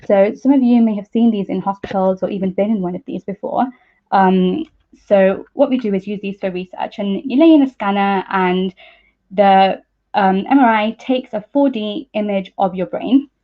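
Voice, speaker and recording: Thin, phone-like online call audio carries a young woman. Her pitch sits in the middle for a woman. She explains science informally over slides, as a student presenter who hesitates a little as she goes. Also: normal speed. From East Midlands, England. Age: young adult. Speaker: PhD student speaker. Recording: muffled narrow-band online call audio.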